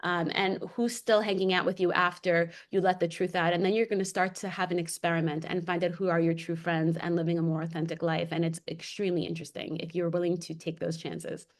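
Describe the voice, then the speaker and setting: A woman's voice: lowish voice, flat delivery, fairly quick, few pauses. A woman with an American accent, talking casually and expressively as a guest in a heartfelt conversation. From New York, United States. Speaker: interview guest.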